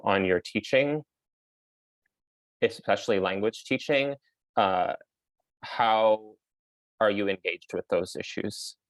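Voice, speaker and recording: A man with a very low voice speaks slowly and thoughtfully, weighing each point and filling his pauses with ums. The sound is dry and close, with no room echo. He comes across as a faculty panelist offering careful, measured advice.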